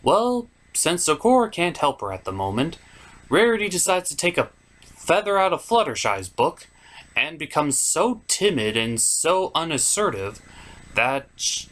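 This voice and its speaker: A man with a fairly high voice and very animated intonation narrates a scripted comedy review. He comes across as a wry, self-deprecating host. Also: unhurried, a little hiss or hum.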